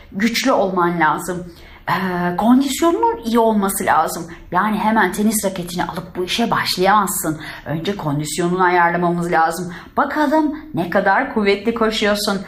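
A woman's voice: medium pitch, lively intonation, moderate pace, lightly acted. A woman voicing an encouraging but chiding young tennis teacher doll in a children's toy story, coaxing with pet names. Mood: instructive. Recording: roomy indoor echo.

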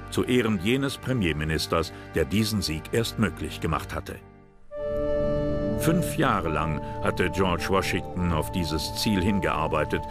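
Deep-voiced male documentary narrator. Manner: calm, measured storytelling. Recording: voice-over with music bed.

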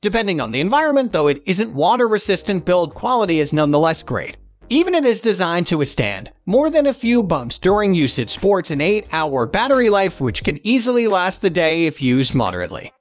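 Guy doing product review voiceover, kinda high voice, very up and down, reading off a script. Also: sing-song, a little fast. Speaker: narrator of product review videos.

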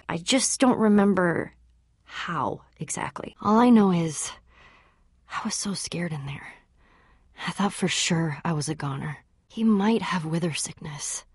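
An actress playing a brave, plucky young woman warrior in a video game, dazed and forgetful, halting as she searches for memories. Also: lowish voice, expressive, darkish tone, husky, no echo, studio quality, full character voice. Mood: weak, worried. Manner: halting, searching for memories, then bursting out eagerly.

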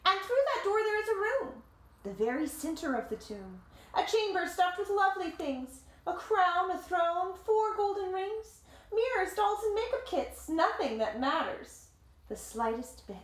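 A woman in her thirties reading a picture book aloud to children like a teacher, with dramatic, deliberate phrasing and simple explanations, recorded in a living room. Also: extremely high pitch, very animated. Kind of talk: expressive storybook read-aloud with explanatory asides. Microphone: camera or phone a short distance away. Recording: roomy home echo.

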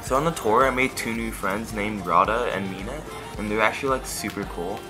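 A young man from California narrating a casual travel vlog in a small dorm room, talking into a phone at arm's length in a relaxed way with fillers. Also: lowish voice, fairly quick. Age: young adult. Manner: relaxed, laid-back with fillers. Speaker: vlogger. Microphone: phone held at arm's length. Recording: background music under the voice.

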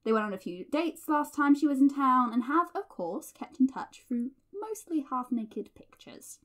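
A young woman with a fairly high voice played by an actress hosts an audio drama diary. She is playful and nerdy, teasing her friend and narrating with a theatrical flourish. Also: very animated, moderate pace, fairly bright tone. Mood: mock dramatic.

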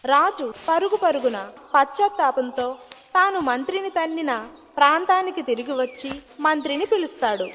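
A woman's voice: high voice, expressive, normal speed, fairly bright tone, slight background noise. A woman giving scripted narration of a moral story as its narrator. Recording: long hall-like reverb, music behind.

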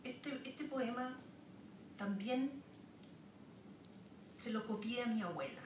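A Chilean woman recites poetry from a podium at a very slow, measured pace, pausing between each line. She sounds distant, picked up by a camera across a wood-paneled lecture room with a roomy echo and audible background hiss. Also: a little high, lively intonation. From southern Chile. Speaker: poet. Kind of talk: poetry reading with spoken asides. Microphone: camera far across the room.

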